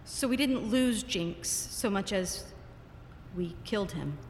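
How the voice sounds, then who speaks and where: A woman's voice: mid-range voice, animated, slow pace. A woman playing a determined, defiant scientist in an audio drama, earnest and insistent. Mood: shaken. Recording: echoey hall, faint noise.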